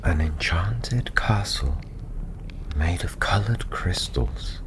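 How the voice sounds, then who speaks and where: A man softly telling a fantasy story in ASMR style, breathy and often near a whisper, gentle and unhurried with long pauses. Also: low-pitched, animated, rough recording.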